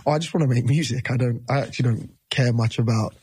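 A man's voice: mid-range voice, fast talker, mellow, husky, dry recording. A man in his thirties, a musician guest, talking casually in an interview, loose and rambling with many restarts.

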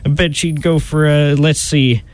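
A man's voice: on the high side, steady tone, unhurried, natural voice. An actor playing a lovesick, folksy Southern man in a hammy comic radio play. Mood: plaintive, folksy.

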